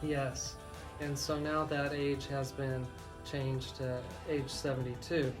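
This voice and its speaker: A man speaking as a legal expert on a radio talk show, explaining things deliberately with frequent pauses. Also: medium pitch, flat delivery, over music, low-quality recording.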